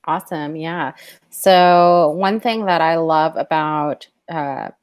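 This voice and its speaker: Woman host, lowish voice, dry close sound, curious questioning manner. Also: takes it slowly, smooth, no echo. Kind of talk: casual tech discussion.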